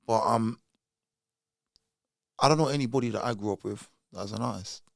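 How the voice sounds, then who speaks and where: Man, podcast host, gravelly voice, laid-back and encouraging, dry recording. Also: fairly low pitch, normal speed, no echo. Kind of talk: casual podcast interview.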